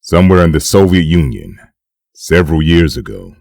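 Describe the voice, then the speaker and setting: A man narrating a dark comic book in a grave, scripted, dramatic style. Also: very deep voice, very animated, moderate pace, vocal fry, dry recording, studio quality, heavily acted. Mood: grave, measured.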